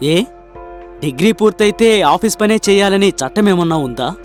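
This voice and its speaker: A man plays an earnest young inventor in a cartoon moral tale. His voice sits high for a man and he explains himself with hopeful sincerity. Faint music plays underneath. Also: animated, moderate pace. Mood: defensive, proud. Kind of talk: dramatised cartoon moral story dialogue.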